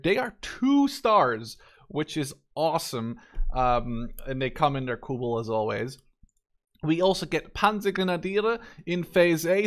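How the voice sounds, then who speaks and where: A man in his twenties from the Netherlands, whose first language is Dutch, talks through gameplay in a fairly high-pitched voice. His delivery is informal and unscripted. He rambles with enthusiasm and often corrects himself mid-sentence. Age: 20s. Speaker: gaming YouTuber. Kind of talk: casual unscripted gameplay commentary.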